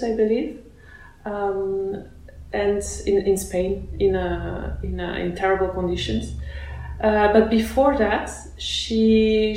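A woman born in Russia, a guest musician being interviewed, speaking haltingly with many fillers and restarts. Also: mid-range voice, a little mellow, slight background noise. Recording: roomy echoey room.

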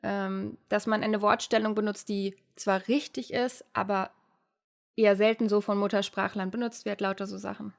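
A woman's voice: medium pitch, level tone, normal speed, gravelly. A woman hosting an interview, chatty and explanatory, often laughing lightly. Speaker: interview host, language teacher. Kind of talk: casual interview conversation.

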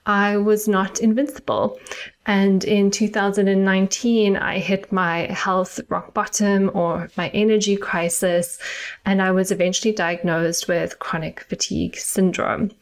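A middle-aged woman from South Africa with a South African accent, hosting her own podcast in a measured, earnest, explanatory way. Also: medium pitch. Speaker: podcast host and nutritional therapist. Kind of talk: scripted solo podcast monologue.

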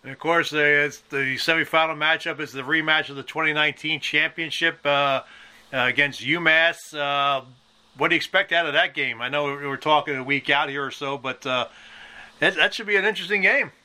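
Higher-pitched, sharp American man, halting. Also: clean tone. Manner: halting, stammering repeats. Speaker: podcast host. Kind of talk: casual sports interview.

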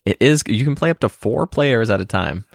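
A man's voice: medium pitch, animated, fairly quick, vocal fry, no room sound, clean studio sound. A man co-hosting a podcast, talking casually and rambling with lots of fillers. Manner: rambling, with many fillers and restarts. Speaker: podcast co-host. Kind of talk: casual chat.

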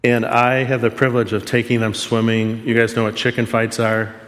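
A man's voice: mid-range voice, little pitch movement, moderate pace. A man giving a sermon, telling a personal story in an earnest, self-deprecating way. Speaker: preacher. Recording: long hall reverb.

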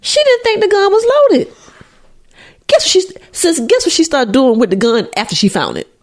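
A woman's voice: high voice, huge pitch swings, normal speed, darkish tone. A woman co-hosting a casual talk show, teasing and slangy. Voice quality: a little nasally. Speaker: podcast co-host. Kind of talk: casual banter.

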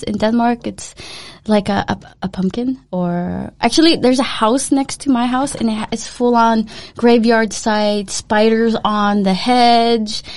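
This is a woman in her thirties hosting a relaxed podcast conversation. Her voice sits fairly high, and she chats loosely and laughs a lot, with plenty of fillers and restarts. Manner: chatty, laughs often, frequent fillers and restarts. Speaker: podcast host. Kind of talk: casual chat.

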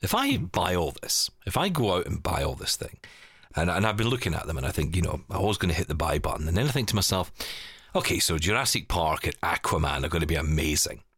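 A man with a notably low voice co-hosts a podcast, talking things through as he asks questions. The sound is dry, with no room echo. His delivery is loose and conversational. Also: sing-song, quick pace, a bit bright, slightly rough. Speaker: podcast co-host. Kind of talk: casual chat.

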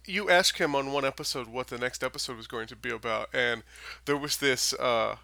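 Late-twenties male co-host from Minnesota, dry wit, gravelly and slightly hoarse, dry close mic. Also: medium pitch, fairly quick, no room sound. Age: late 20s. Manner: wry, deadpan humor. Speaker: podcast co-host. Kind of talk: casual chat.

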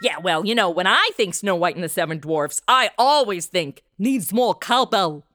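A woman's voice: mid-range voice, lively intonation, moderate pace, bright, forceful tone, no echo, clean studio sound. A woman playing a sarcastic, entitled, brash modern princess with slangy speech in a comic radio play. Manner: snarky, slangy.

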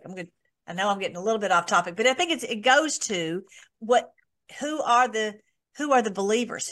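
A woman's voice: mid-range voice, fairly bright tone. A woman hosting an informal online Bible study, talking in a rambling way with many restarts and fillers.

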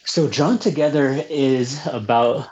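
A reserved, soft-spoken man from the United States, answering questions about his books in a casual interview with many fillers and restarts. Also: medium pitch, slow, highs cut off. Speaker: children's book author, guest.

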